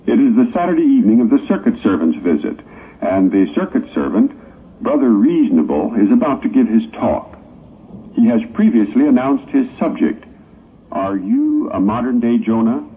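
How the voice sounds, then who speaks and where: A man narrating a scripted morality play in a measured, explanatory manner. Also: medium pitch, big pitch swings, lightly acted. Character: male stage narrator of a congregation morality play. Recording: old tinny narrow-band tape with hiss.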